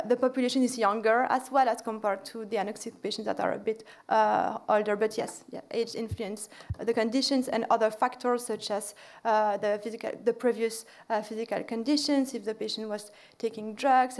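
A woman's voice: fairly high pitch, flat delivery, fairly quick, darkish tone. A woman lecturer answering audience questions at a podium in a large lecture hall, with many fillers and restarts.

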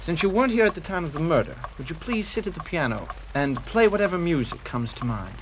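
A man's voice: fairly high pitch, big pitch swings, quick pace, slight background noise. A man playing an earnest, self-important detective hero in a mock film-noir radio drama. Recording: music behind the voice.

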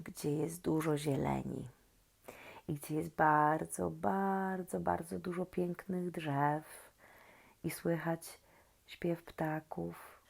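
A woman reading a children's story expressively and talking gently and directly to young listeners. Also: deep voice, vocal fry.

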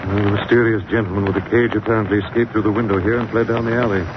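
A low male actor's voice as a suave, thoughtful sleuth in a 1940s radio play. His delivery is grave and deliberate. The old recording is muffled and noisy. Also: level tone, close to an everyday voice. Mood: grave, pondering.

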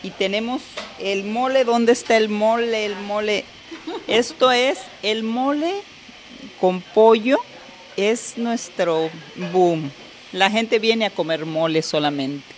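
A woman's voice: medium pitch, slow pace, a little hiss or hum. A middle-aged woman restaurant owner giving a proud tour, explaining dishes into a handheld mic. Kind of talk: interview and guided tour. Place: restaurant, partly outdoors. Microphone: handheld microphone.

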